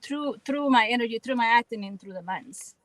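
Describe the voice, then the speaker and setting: A woman's voice: a little high, animated, brisk, fairly bright tone, no echo. A woman from the Dominican Republic with a Dominican Spanish accent, Spanish as her first language, speaking earnestly and reflectively as a guest actress in a radio interview, often repeating words while she finds her phrasing. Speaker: guest actress and spoken word artist.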